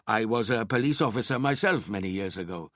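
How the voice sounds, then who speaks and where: A man's voice: on the low side, animated, brisk, a bit bright, dry recording. An elderly Indian shopkeeper, acted out by a male audiobook narrator, courteous and proud of himself. He talks at length in an Indian accent, eager to help, in a dry recording. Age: 60s. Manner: deferential, talkative, proud. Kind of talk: audiobook character acting.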